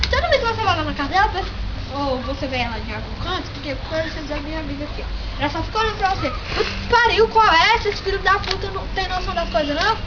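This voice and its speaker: A woman with a Brazilian Portuguese accent hosting a playful homemade vlog, joking, swearing and breaking into shouts. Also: very high-pitched, very noisy. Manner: excitable, jokey, swears and bursts into shouting. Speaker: vlog host. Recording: dull lo-fi home recording with music.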